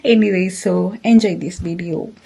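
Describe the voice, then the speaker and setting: Low, husky woman vlogging. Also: normal speed, dark tone. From Kenya. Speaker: vlogger, host. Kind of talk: casual chat to viewers.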